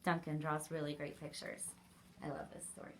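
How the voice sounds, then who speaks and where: Bright-voiced woman reading at story time. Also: deep voice, monotone, brisk, a little hiss or hum. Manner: encouraging, questioning young listeners. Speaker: library story time reader. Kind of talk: interactive storybook read-aloud for children.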